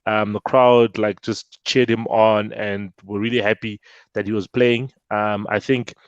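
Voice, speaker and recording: Man, co-host, low voice, hesitant and rambling, dull dry mic. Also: a little slow, clear, resonant tone, no echo. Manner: hesitant, many fillers and restarts, excitable jokes. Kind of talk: casual football chat.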